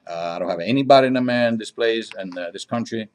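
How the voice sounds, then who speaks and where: A middle-aged man from Greece with a Greek accent answers interview questions conversationally, in halting non-native English with frequent pauses. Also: on the low side. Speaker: interview guest, diner owner.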